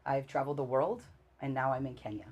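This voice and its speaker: Casting: forties woman, low husky voice, eager chatty interview guest. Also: fast, highs cut off. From Canada. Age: 40s. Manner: warm, enthusiastic storytelling with many fillers. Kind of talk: casual interview answers.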